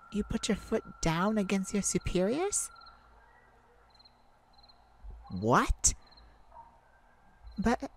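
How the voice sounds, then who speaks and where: A woman voicing a tender, wounded, lovesick vampire in an intimate ASMR roleplay, speaking haltingly and with emotion. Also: medium pitch, a little hiss or hum. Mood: distressed, bewildered. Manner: hesitant, emotional, halting with sighs and pauses.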